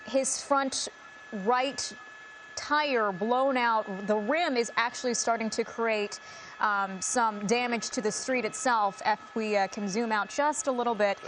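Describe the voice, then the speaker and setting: A woman with a slightly high voice anchors live breaking news. Her phrasing is unscripted and halting, with faint noise behind her. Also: fairly even intonation, fairly bright tone, poor audio.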